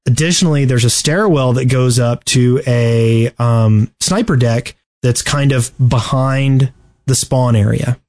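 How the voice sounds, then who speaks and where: A man co-hosting a podcast, talking through game strategy casually and haltingly as he thinks aloud. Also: mid-range voice, darkish tone. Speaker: podcast host.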